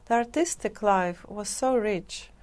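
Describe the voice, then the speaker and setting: A woman's voice: mid-range voice, unhurried, darkish tone. A middle-aged woman from Moscow with a Russian accent, talking casually and earnestly as an interview guest, often saying "like". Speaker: guest, concert pianist. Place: TV studio, seated indoors.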